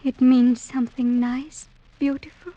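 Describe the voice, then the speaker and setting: An actress playing a gentle, shy young village maiden, soft and earnest in 1940s radio drama style. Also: female voice, high-pitched, level tone, unhurried, no echo, muffled recording. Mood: shy, tender. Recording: vintage radio recording.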